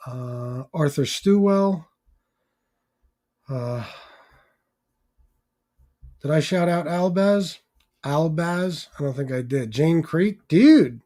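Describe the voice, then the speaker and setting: A man hosting a livestream, chatting casually and informally with a hoarse voice that is giving out. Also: a little high, animated, slow pace, long pauses, warm tone. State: hoarse, losing his voice. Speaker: livestream host. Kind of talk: casual livestream chat with shout-outs.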